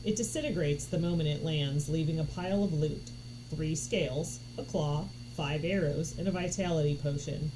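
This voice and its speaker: A woman reading a story aloud in an expressive narration style. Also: low voice, moderate pace. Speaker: audiobook reader. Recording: noticeable background noise.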